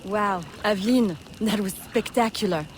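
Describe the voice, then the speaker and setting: A woman's voice: mid-range voice, normal speed, a little hiss or hum, natural voice. Woman playing a brash, wry smuggler leader. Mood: impressed. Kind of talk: video game cutscene acting.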